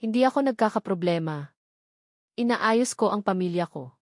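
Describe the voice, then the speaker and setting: A woman's voice: mid-range voice, animated, normal speed, no room sound, natural voice. An earnest, determined man defending his family, voiced by a female narrator in an audio drama story. He speaks firmly, and the recording is dry. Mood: defiant. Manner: firm, earnest.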